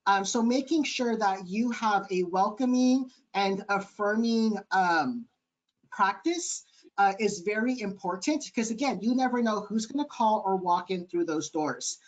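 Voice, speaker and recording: A man with a light, high-sounding voice, answering webinar questions earnestly with many ums over a video call. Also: measured pace, highs cut off. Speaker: webinar panelist.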